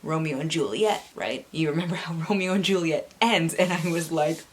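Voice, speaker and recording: A woman's voice: low voice, normal speed. A North American woman hosting a book vlog in a playful, theatrical manner, mixing casual chat with dramatic readings and asides. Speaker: book channel host. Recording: clearly audible background hiss.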